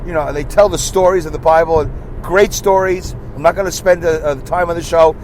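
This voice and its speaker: A man hosting his own podcast alone, rambling in a casual monologue from inside his car, repeating himself as he thinks out loud. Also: fairly high pitch, steady tone, fairly quick, a little hiss or hum, rough recording. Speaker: podcast host.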